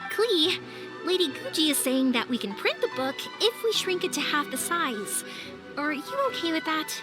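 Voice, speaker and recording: A woman playing a big-hearted, determined young woman in a video game, with an earnest, expressive delivery. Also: high-pitched, normal speed, over music. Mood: eager, friendly.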